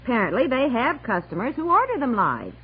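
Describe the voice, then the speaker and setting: Give the part of a fretful, soft-hearted American wife and mother in a 1960s radio comedy. Her voice sits fairly high and moves up and down a great deal as she fusses and pleads. The old recording is dulled, with a faint hum. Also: wildly swooping pitch, brisk, narrow, tinny audio. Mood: explaining, uneasy. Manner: fussing, pleading, conversational. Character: squeamish, fretful housewife and mother, tender-hearted.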